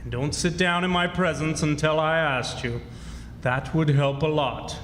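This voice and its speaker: Man acting an old folksy rogue, echoing loudly around. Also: a little high, fairly even intonation, measured pace, slight background noise. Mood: pompous, sorrowful. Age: older. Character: grey-haired old rogue posing as exiled French king. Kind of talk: old-time radio drama acting, performed live. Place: stage in a reverberant historic club hall. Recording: echoey hall stage performance with music.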